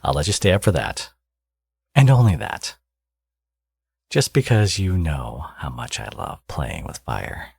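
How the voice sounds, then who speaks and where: Man playing a low, gravelly, teasing villain dad. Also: huge pitch swings, unhurried, pauses often, a little mellow, dry recording, studio quality, full character voice. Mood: teasing, reassuring. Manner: playful, cocky, murmuring to a lover. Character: smug, teasing male supervillain, doting new father. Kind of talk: intimate ASMR audio roleplay.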